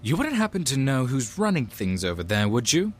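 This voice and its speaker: A man plays a bold, challenging companion in an audio drama. His mid-pitched voice moves very animatedly, pointed and cheeky. There is faint noise in the background. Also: big pitch swings, fairly quick, natural voice.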